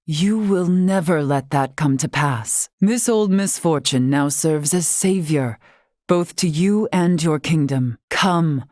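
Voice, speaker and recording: धीमे सुर वाली गंभीर महिला मुखिया. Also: female voice, deep voice, a little mellow, no room sound, clean studio sound. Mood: grave, resolute. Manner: formal, archaic, measured phrasing. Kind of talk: video game character acting.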